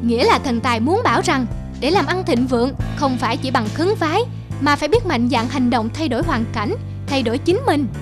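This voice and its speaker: A woman plays an earnest young cartoon boy, a respectful shop helper. The voice is high, polite and eager, with music behind it. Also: animated, brisk. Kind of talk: animated moral tale dubbing.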